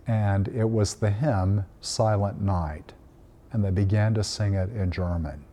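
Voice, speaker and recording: Priest in his fifties from Tennessee, mellow low voice, gentle reflective storyteller. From Tennessee, United States. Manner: gentle and unhurried, frequent "uh" fillers. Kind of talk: reflective Christmas storytelling message.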